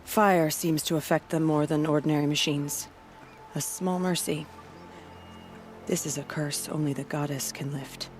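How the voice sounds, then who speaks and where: An actress playing a proud female warrior who guards a border village, speaking in a solemn, steady and devout way. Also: low voice, normal speed, faint music behind, lightly acted.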